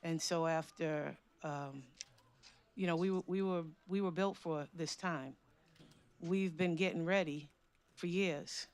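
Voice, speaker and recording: Woman, organizer on a panel, smooth resonant voice, emphatic, repeats key words. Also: on the low side, fairly even intonation, slow. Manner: fervent, emphatic, repeats for stress. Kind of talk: panel talk.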